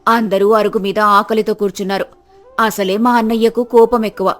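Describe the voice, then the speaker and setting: A woman narrating a children's moral story in a lively, expressive storybook style. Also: mid-range voice, normal speed.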